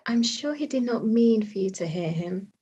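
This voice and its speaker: An actress playing an observant, gossipy young gentlewoman with a confiding manner in a period staged reading. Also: a little high, animated, normal speed, breathy edge.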